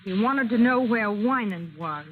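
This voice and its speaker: A cool, guarded secretary in an old radio crime play. She speaks slowly with swooping inflection on a tinny, faintly noisy broadcast. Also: medium pitch, animated. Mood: tense, cool. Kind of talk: 1930s radio drama acting.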